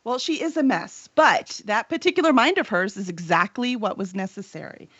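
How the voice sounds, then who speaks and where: A woman's voice: medium pitch, expressive, moderate pace, a bit bright, no echo. A woman hosting a casual interview in an effusive, informal way, on slightly dull audio. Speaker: interviewer, publisher.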